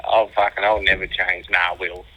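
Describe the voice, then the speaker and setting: A man's voice: deep voice, level tone, moderate pace, crowd noise, poor audio. An Australian man chatting casually as a phone-in guest, laconic, matey and heavily sweary. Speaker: phone-in guest calling from prison. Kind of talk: casual banter on a phone call. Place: phone call from a prison. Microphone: telephone.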